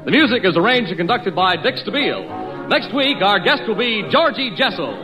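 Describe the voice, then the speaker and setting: Formal mid-century male radio announcer, fairly high voice, reading credits over music. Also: expressive, normal speed, rough recording. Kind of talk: 1950s radio closing credits.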